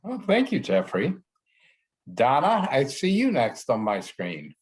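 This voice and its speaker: Moderator guy, slow and really sing-songy, quick polite hand-offs. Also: medium pitch, huge pitch swings. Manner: brief, courteous hand-offs. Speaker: panel moderator. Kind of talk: handing over turns.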